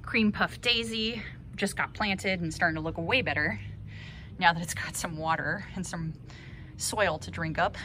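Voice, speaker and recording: A woman's voice: fairly low pitch, measured pace, sharp tone. A woman in her thirties giving a casual, chatty garden tour, recorded outdoors on a handheld phone. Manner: chatty, cheerful asides. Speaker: gardening vlogger. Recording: outdoor ambient noise.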